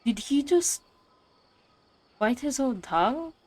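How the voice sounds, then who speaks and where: A woman's voice: high voice, expressive, takes it slowly, darkish tone, dry recording. A woman giving casual playthrough commentary and reading game text aloud, slangy and joking. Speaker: game streamer.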